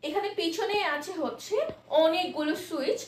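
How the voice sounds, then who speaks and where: A woman with a Bangladeshi accent presenting a product demonstration in an informal, explanatory, somewhat halting way, mixing in English words. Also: very high-pitched. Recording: echoey room, distant mic, faint noise.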